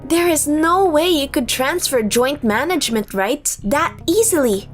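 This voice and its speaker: A woman's voice: high-pitched, expressive, a little slow. A woman voicing young women in a romantic comedy manga dub, over background music. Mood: indignant. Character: young women in a romcom: breezy cheating girlfriend, indignant loyal twin. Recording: music under the voice.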